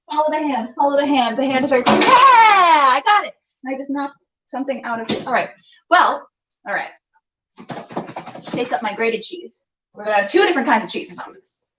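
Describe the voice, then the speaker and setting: High-voiced woman in an echoey room. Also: fairly bright tone. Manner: rambling, playful, exclaims and talks to herself. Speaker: host of a cooking show. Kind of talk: casual chatty cooking demonstration to camera. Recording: echoey room, distant mic, dull sound.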